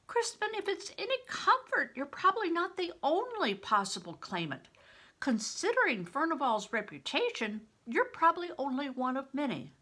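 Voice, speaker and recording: An older widow in a storybook, acted out by a woman reader, earnest and urgent. Her voice climbs high and swings widely in pitch as she pleads and warns with strong emphasis. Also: huge pitch swings, moderate pace, pushed and bright, exaggerated voice. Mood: grave, pleading. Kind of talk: audiobook character reading.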